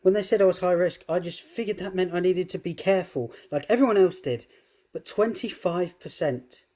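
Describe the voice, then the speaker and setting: A man playing a frightened, numbed person at high risk in a filmed diary monologue. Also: brisk, warm tone. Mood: frightened, stunned. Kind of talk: spoken diary monologue in a short film.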